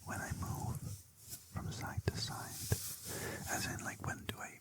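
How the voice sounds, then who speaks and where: An ASMR creator whispering slow, gently encouraging instructions into a close binaural mic. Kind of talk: whispered ASMR focus test.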